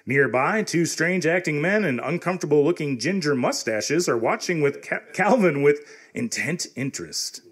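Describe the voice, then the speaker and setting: Man acting radio serial narrator, folksy, slightly high, husky, scripted comic storytelling. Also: animated, moderate pace, few pauses. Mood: wry. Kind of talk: scripted narration for live comedic radio theatre.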